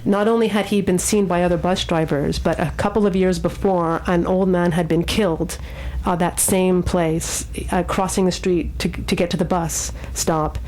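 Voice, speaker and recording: Woman radio host, slightly low voice, casual storytelling, stumbles and repeats words a bit. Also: steady tone, normal speed, few pauses, a little mellow, rough recording. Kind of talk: casual spoken storytelling and show hosting.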